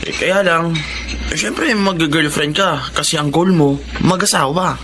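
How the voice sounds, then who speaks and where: A man playing a bashful, hesitant younger brother in a Tagalog radio drama, shy and halting. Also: on the high side, noisy background, close to an everyday voice.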